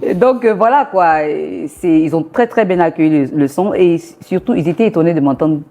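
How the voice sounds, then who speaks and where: Young woman from côte d'ivoire, lowish mellow voice, chatty and laughing a lot in an interview. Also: brisk. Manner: lively, laughs often, animated asides. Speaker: singer, interview guest. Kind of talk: casual interview chat.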